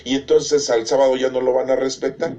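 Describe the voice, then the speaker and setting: A man's voice: medium pitch, level tone, brisk, warm tone, muffled recording. A middle-aged man explaining religious teaching emphatically, asking rhetorical questions and checking in with his listeners. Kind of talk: catechism explanation.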